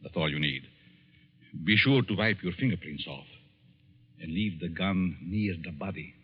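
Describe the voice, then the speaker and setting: An actor in a 1940s radio drama playing a coldly persuasive, sinister professor, formal and insinuating, who speaks deliberately. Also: male voice, deep voice, animated, husky. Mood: coolly instructing. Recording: tinny, reverberant old radio recording.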